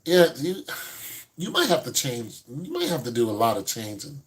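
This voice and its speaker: A man's voice: mid-range voice, wildly swooping pitch, moderate pace, rough recording. A man in his fifties, a host giving blunt, no-nonsense advice to a caller in casual chat. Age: 50s.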